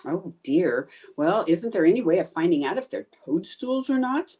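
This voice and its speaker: A woman's voice: fairly low pitch, big pitch swings, moderate pace, warm tone, slightly rough. A woman playing a scatterbrained, stubborn, cheerful housewife in an old-time radio comedy, with theatrical, emphatic delivery. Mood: blithely confident.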